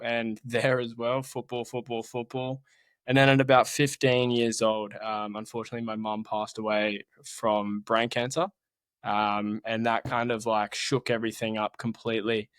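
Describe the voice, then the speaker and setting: A man's voice: medium pitch, clean tone, no echo. A young Australian man with an Australian accent, a guest talking about his life in a casual interview, earnest and laid-back. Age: young adult. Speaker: mountaineer guest.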